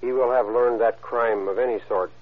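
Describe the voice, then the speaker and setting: A man's voice: medium pitch, fairly even intonation, moderate pace, noisy background. An American police chief, a man, reading solemn, scripted moralizing remarks stiffly on 1930s radio. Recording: tinny, phone-like old radio broadcast.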